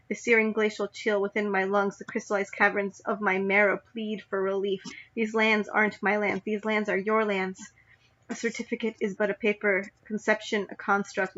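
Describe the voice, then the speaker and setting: Brief: young woman poet, flat steady delivery, deliberate, slightly muffled lo-fi recording. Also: mid-range voice. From United States. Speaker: spoken word poet. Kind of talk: spoken word poetry reading. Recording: dull lo-fi recording.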